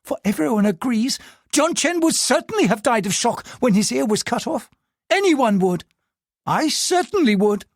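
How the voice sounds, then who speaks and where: An audiobook narrator voicing a shrill, self-pitying wealthy wife who shrieks and complains. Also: big pitch swings, normal speed, no room sound, clean studio sound. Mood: indignant. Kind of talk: audiobook character voice.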